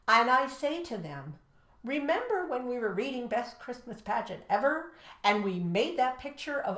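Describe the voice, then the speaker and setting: An American woman, a teacher co-hosting an education show, telling classroom stories and giving advice emphatically, acting out dialogue. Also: on the high side. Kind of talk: animated storytelling and teaching advice. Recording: echoey room.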